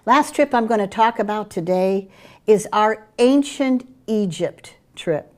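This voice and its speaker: A middle-aged woman from Curaçao with a Dutch Caribbean accent, presenting group trips in an eager sales pitch. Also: expressive, a little slow, a little mellow. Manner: enthusiastic sales pitch with fillers. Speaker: travel program presenter. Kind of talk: promotional chat about group tours.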